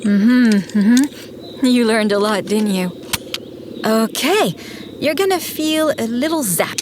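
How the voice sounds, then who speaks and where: An actress playing a patient, frank woman engineer in an audio drama, calm and reassuring with dry humour. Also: fairly high pitch, takes it slowly, background noise. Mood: calm, encouraging. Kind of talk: scripted audio drama acting.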